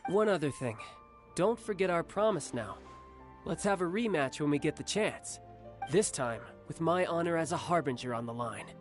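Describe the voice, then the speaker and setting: A man plays a worn-out young warrior with a slightly higher voice. He speaks softly and wryly. Faint game music sits behind him. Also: lively intonation, normal speed, lightly acted. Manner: soft, weary, wry. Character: young male warrior, weary and weakened, confident. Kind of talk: video game voice acting.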